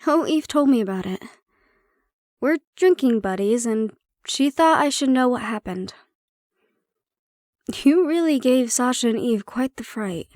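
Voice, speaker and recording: A woman playing a prickly but caring handler in an intimate audio roleplay, speaking in a measured, probing way. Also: a little high, expressive, darkish tone, dry recording, clean studio sound. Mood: candid, wry. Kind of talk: intimate audio roleplay acting, one-sided conversation.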